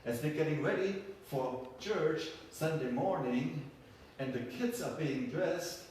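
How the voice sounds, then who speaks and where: A man telling folk tales live to a group, halting and often repeating words and phrases. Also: fairly high pitch, a little mellow. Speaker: storyteller. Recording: echoey room, far from mic, faint noise.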